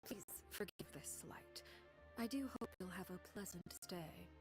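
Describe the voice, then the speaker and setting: A woman's voice: on the low side, expressive, a little slow. A woman playing a poised, commanding noblewoman in a video game, formal and composed, with dry teasing. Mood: coolly polite. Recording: game audio with music behind.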